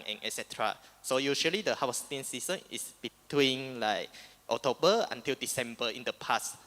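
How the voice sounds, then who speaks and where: Man, chatty fillers, mid pitch, long reverb. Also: sharp tone. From Sarawak, Malaysia. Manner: frequent "like" fillers. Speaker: youth delegate.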